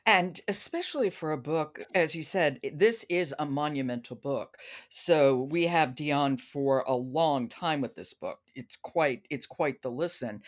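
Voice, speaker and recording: A woman's voice: sits low, a little slow, hardly any pauses. A woman hosting a podcast in a conversational book discussion. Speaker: podcast host.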